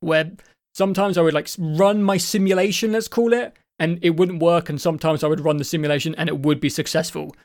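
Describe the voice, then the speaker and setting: A man co-hosting a casual podcast about games, his voice croaky because his throat won't clear, rambling along with restarts and the odd swear. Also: a little high, fairly even intonation, a little fast, darkish tone. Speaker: podcast co-host. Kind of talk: casual chat.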